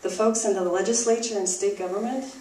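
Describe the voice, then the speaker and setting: A woman's voice: mid-range voice, steady tone, fairly quick, warm tone. A woman presenting a scripted live show in a theatrical, conversational storytelling manner. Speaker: puppet show performer and presenter. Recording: roomy, distant mic, faint noise.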